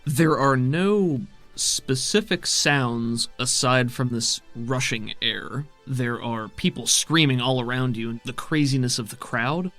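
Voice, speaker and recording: A man's voice: mid-range voice, a bit bright. A man working as game master, narrating and explaining a tabletop roleplaying game in a descriptive way. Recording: music behind the voice. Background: music.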